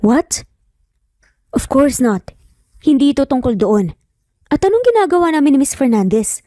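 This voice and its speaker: A woman's voice: high voice, very animated, normal speed, dark tone, dry recording, clean studio sound. A woman voicing a concerned, earnest man, a boss and father, in an audio romance. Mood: confused, explaining.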